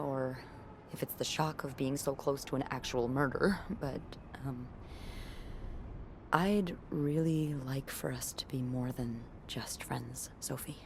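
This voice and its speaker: A woman playing a caring, earnest young hotel worker in a video game, confiding in a friend. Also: a bass voice. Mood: shy. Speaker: coworker and friend of the maid. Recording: faint background noise.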